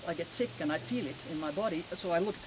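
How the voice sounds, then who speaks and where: She is a woman in her seventies with a Finnish accent. She answers interview questions as personal testimony, leaning hard on words and breaking up her phrases. Clear outdoor noise sits behind her. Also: on the low side, lively intonation, fast talker. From Finland. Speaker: interview guest. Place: outdoors in a garden.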